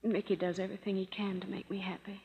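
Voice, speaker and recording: A young woman played by an actress, the brave youngest sister in a 1930s radio drama. She is earnest and emotional at a medium pitch. Faint noise runs under the old broadcast. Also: flat delivery, fast, gravelly, muffled recording, natural voice. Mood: troubled. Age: young adult.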